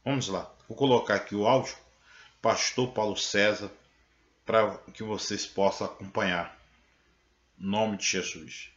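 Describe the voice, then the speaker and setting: A man hosting a channel who calmly introduces an audio recording for his viewers in a measured way. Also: on the low side. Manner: calm, measured, drawn-out delivery. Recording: echoey, muffled lo-fi room.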